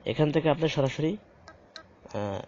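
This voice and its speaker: A man's voice: a little high, expressive, normal speed, dark tone, a little hiss or hum. A man giving a hesitant, step-by-step phone tutorial, explaining and repeating the screen prompts. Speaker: tutorial host for blind users. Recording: dull lo-fi home recording.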